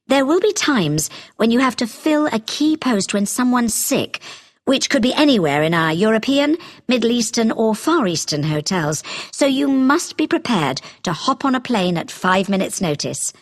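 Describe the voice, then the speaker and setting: Female recruiter, brisk and businesslike, animated pitch movement, organised presentation for a listening exam. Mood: businesslike, informative. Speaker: company recruiter giving a talk. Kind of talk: scripted informational talk for a listening exam.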